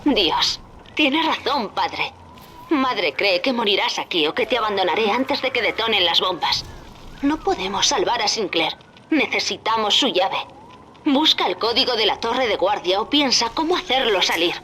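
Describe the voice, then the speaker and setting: A woman's voice: mid-range voice, animated, normal speed, bright, forceful tone, slight background noise. A woman playing a devoted, determined young daughter who guides her father in a video game, speaking earnestly and urgently. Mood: resolute. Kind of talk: dramatic video game acting.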